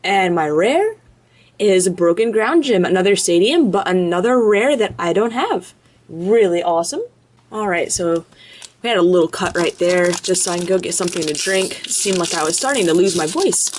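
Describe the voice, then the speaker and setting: A woman's voice: fairly low pitch, animated, darkish tone. A woman casually chatting as she opens card packs, rattling off card names one after another from just off camera at an indoor table. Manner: chatty, lists card names quickly in a row. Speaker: card-opening video host. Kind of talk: casual commentary while opening card packs.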